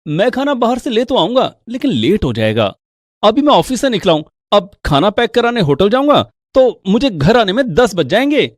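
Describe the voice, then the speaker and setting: A woman's voice: medium pitch, very animated, normal speed, dry recording, studio quality. A woman voicing emphatic men of the household in a cartoon family drama. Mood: matter-of-fact. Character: men of the household, husbands and hungry guest.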